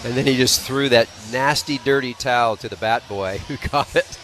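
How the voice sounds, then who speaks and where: A man's voice: mid-range voice, normal speed, a bit bright, faint music behind. An American man giving conversational color analysis during a live baseball broadcast. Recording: clearly noisy live outdoor broadcast.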